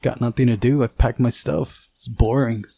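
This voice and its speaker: A man's voice: mid-range voice, steady tone, moderate pace, gravelly, warm tone. A man voicing an ordinary young man, the sarcastic, weary and irritable hero of a visual novel. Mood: weary irritated.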